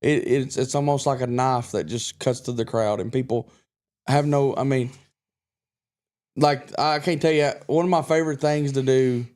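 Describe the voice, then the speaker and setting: A man's voice: medium pitch, fairly even intonation, moderate pace, darkish tone. Self-assured Kentucky-raised man, podcast guest. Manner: self-assured, rambling with restarts. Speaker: guest country singer. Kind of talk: casual podcast chat.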